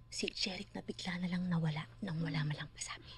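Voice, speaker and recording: A woman's voice: lowish voice, steady tone, fast, a little hiss or hum, lightly acted. A woman playing an earnest best friend in a comedy film, urgently coaxing and persuading. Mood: hushed, intense. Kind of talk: naturalistic comedy film acting.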